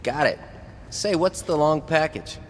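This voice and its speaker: A man's voice: a little high, unhurried, lightly acted. Echoey, easygoing parking attendant played by a man. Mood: friendly. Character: friendly, deferential, easygoing male parking attendant. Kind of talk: audio drama acting. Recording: echoing hall, faint vehicle noise.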